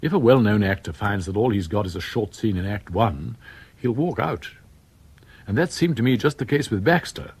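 Wry writer narrating a radio play, lowish, really husky and breathy. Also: male voice, lively intonation, moderate pace, no room sound, heavily acted. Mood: wry, confiding. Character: genial, wry author confiding in listeners.

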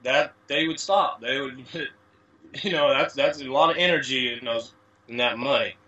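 A man's voice: mid-range voice, moderate pace, pushed and bright, highs cut off. A man from the USA, a guest on an interview, talking in a rambling casual way with lots of "you know" fillers. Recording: distant from mic.

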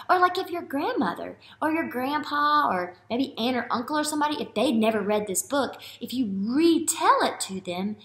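Bright, animated American woman teaching children. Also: fairly high pitch. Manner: animated, expressive, questioning, addressing young children. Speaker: early elementary teacher. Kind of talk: children's read-aloud and lesson. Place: classroom, indoors. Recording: roomy classroom echo.

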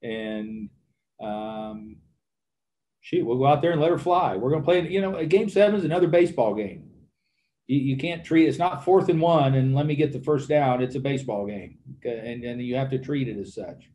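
Older man, halting post-game answers, mellow. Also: mid-range voice. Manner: halting, repeats words, trails into fillers. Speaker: baseball manager. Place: press conference room with backdrop. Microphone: desk microphone.

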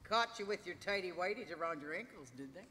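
A brash, sardonic old android lady, sharp-tongued and crabby. It is a live table read, with clear noise and hall reverb around the voice. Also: on the low side, animated, fast talker. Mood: crabby, mocking. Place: large convention hall stage. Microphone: table microphone. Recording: noisy echoey convention hall.

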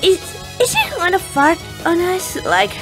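A woman's voice: very high-pitched, huge pitch swings, a little slow, fairly bright tone, faint music behind, exaggerated voice. A woman voicing a naive, devoted maid girl with an innocent, blunt manner in a parody cartoon. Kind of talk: animated parody cartoon acting.

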